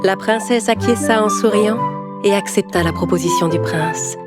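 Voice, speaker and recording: Lady reading a kids fairy tale as narrator, mid voice, loud music under it. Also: medium pitch, moderate pace. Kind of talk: scripted children's storytelling.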